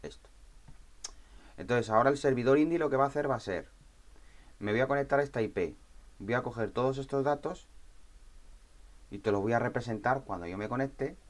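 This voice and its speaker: A man presenting an informal step-by-step software tutorial in a conversational way, often checking understanding with short tag questions. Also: medium pitch, a little fast, long pauses.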